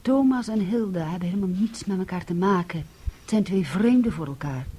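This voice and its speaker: An actress playing a defiant, highly strung and insistent young daughter in a radio play. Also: female voice, expressive, normal speed, mellow, slightly rough. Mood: cold, accusing. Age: young adult.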